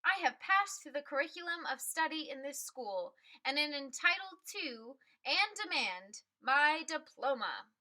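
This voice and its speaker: A bold woman crusader from a picture book, as a woman reader voices her. She speaks in a high, bright voice with firm, declarative conviction, as if addressing a rally. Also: very animated, a little slow, hardly any pauses, full character voice, rough recording. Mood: determined. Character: bold, determined woman crusader for equal rights.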